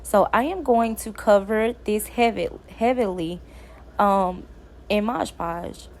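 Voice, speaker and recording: A woman's voice: mid-range voice, takes it slowly, darkish tone. A woman, stuffy from a cold, chatting casually through a DIY craft tutorial. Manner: chatty, addresses viewers as y'all. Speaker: craft video creator. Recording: faint background noise.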